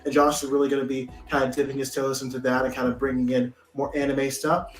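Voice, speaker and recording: A man's voice: mid-range voice, flat delivery, fast. Upbeat man hosting in an echoey room. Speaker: live stream host. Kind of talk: casual chat with friends. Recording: roomy echo, faint music behind.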